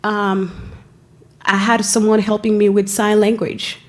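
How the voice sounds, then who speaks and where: A woman's voice: mid-range voice, little pitch movement. Earnest woman with a Haitian accent. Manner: earnest, with frequent pauses and restarts. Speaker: school family engagement staff member. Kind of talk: public presentation at a school board meeting. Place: school theater during a board meeting.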